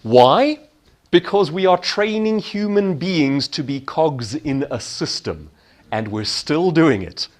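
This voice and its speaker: A man's voice: on the high side, animated, slow pace. A man giving a motivational keynote talk in an emphatic manner, posing questions and answering them himself. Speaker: keynote speaker.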